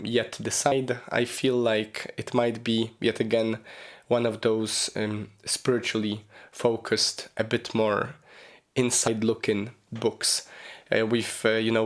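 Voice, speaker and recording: A man hosting a solo podcast, his voice raspy and hoarse from a cold, talking loosely with lots of ums and you-knows. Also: mid-range voice. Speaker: podcast host. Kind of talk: casual solo podcast monologue.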